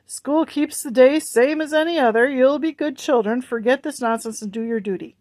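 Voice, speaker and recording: A woman's voice: high voice, normal speed, a little mellow, no room sound, pitched above the performer's own voice, close to an everyday voice. A woman doing a stern, snappish Puritan mother. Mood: sharp. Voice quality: scolding, snappish.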